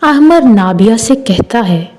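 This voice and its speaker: Slow, high-voiced woman telling a story. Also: lively intonation, warm tone. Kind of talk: scripted story narration. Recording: music behind the voice. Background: background music.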